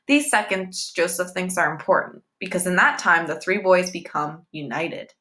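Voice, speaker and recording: Bright-voiced woman reading a novel aloud. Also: fairly low pitch, expressive, moderate pace. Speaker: reader, teacher. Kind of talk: read-aloud novel narration.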